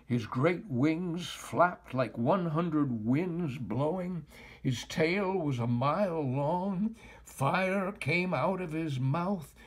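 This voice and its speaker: An older man reading a children's story aloud in an expressive, deliberate, dramatic way. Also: fairly high pitch. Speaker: storyteller, actor.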